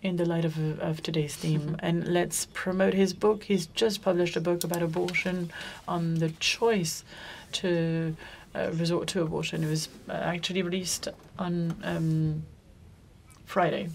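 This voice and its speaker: A woman's voice: lowish voice, little pitch movement, measured pace, gravelly, a little mellow, slight background noise. A woman moderating a conference panel, speaking loosely and often correcting herself mid-sentence. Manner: loose, self-correcting, with frequent restarts. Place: conference room.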